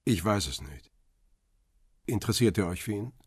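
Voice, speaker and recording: An actor playing a world-weary, guarded paparazzo who narrates a radio crime drama in a measured, understated, husky way. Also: male voice, lowish voice, lively intonation, no room sound, studio quality. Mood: guarded, hesitant.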